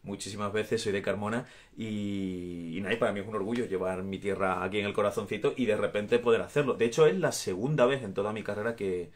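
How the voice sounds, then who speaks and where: A man's voice: lowish voice, fast talker, few pauses. A man in his thirties with an Andalusian accent, chatting casually on a live stream from a small room at home, reading out viewer comments and laughing often. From Andalusia, Spain. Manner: warm, chatty, laughs often, gestures while talking. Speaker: actor answering fans in a live stream. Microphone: phone or webcam at arm's length.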